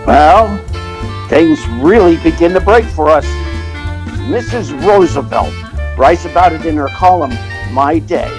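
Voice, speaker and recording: A man's voice: fairly high pitch, a little slow, music in the background, low-quality recording. A man playing an eager theatrical agent who narrates like a showman in an old time radio drama. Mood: excited.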